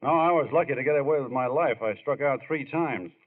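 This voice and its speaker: A man acting in a 1940s radio drama as a good-natured, bashful married ballplayer, plain-spoken and halting. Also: medium pitch, lightly acted. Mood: tender. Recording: tinny, narrow-band old radio recording.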